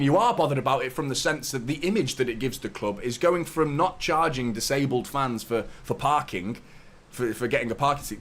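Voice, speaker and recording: A man in his thirties with a slightly hoarse voice, hosting a live football fan stream, chatting to viewers and ranting with strong opinions. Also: medium pitch, a bit bright. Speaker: host of a football fan watchalong. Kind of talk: live stream chat and commentary.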